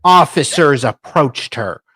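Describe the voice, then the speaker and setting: A man voicing a grand, haughty, aggrieved lady in a pompous, drawn-out, mock-dramatic reading of a sworn legal declaration. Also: a little high, animated, pushed and bright, breathy edge, no room sound, pitched above the performer's own voice, full character voice. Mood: grandly accusing. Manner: pompous, theatrical, drawn-out delivery.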